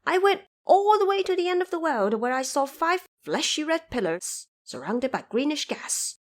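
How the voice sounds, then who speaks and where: A woman's voice: high voice, moderate pace, pitched above the performer's own voice. Brash monkey king played by a woman: raised, swooping voice, challenging and full of himself, for fantasy audio drama. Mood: boastful, defiant. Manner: boastful, excitable, challenging. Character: brash, cocky male monkey king, defiant and boastful.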